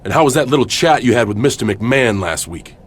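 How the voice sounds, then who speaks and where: A man playing an intense, defiant wrestling hero in a video game, confrontational and sardonic with a husky voice. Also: mid-range voice, expressive, quick pace, very noisy, natural voice. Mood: angry, defiant. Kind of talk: video game cutscene acting.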